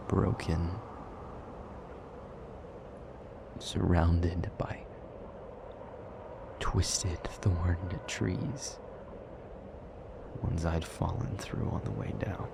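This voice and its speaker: A man playing a weary, brooding but protective demon in an intimate audio roleplay, speaking in a husky half-whisper with halting, formal phrasing. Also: deep voice, steady tone, full character voice. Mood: grim, haunted. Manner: slow, halting, formal phrasing with heavy pauses. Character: weary, brooding male demon, gravely guarded yet protective.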